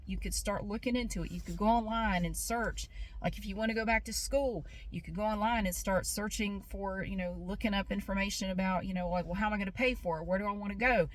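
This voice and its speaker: A woman's voice: mid-range voice, a bit bright, a little hiss or hum. Chatty middle-aged woman vlogging. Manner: rambling, chatty, frequent fillers, emphatic pep-talk bursts. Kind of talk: casual vlog chat. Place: in her car, then inside a big store.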